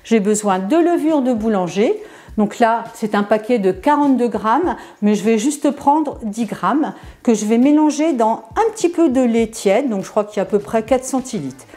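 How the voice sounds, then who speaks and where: A woman's voice: on the high side, warm tone. Chatty woman cooking in her kitchen. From Ardennes, France. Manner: chatty, hesitant, lots of fillers. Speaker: home cook host. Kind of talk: casual cooking demonstration. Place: home kitchen.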